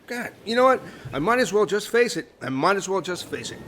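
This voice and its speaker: An excitable, slangy castaway voiced by a man in a comedy audio play. He is high-pitched and animated, swearing and exclaiming over light background noise. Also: brisk. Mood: frustrated. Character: excitable laid-back Californian castaway man with emu legs. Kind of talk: comedy audio drama acting.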